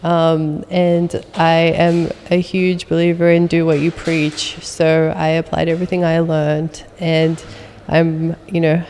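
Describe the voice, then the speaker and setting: A woman's voice: low-pitched, completely flat delivery, slow pace, darkish tone, in a large hall, slight background noise. A young adult woman guest chatting in an interview, full of ums and uhs. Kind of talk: casual interview conversation.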